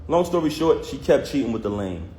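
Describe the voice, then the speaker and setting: A man's voice: medium pitch, very animated, brisk, dark tone, slight background noise. A man telling viewers a personal story in casual, heated first person, emphatic and full of street slang. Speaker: vlogger recounting a personal conflict. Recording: roomy echo.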